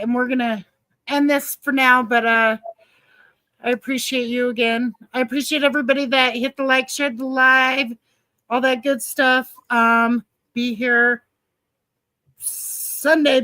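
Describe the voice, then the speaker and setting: A woman's voice: fairly high pitch, steady tone, measured pace, pushed and bright, dry recording, low-quality recording. A woman hosting a casual livestream chat, playful and chatty, laughing often. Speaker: host.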